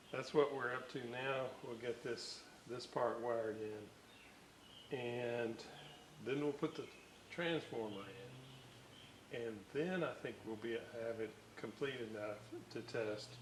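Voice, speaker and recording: An older man giving casual, halting, folksy narration as a hobbyist at his workbench. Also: medium pitch, noisy background. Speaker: radio restoration hobbyist and host.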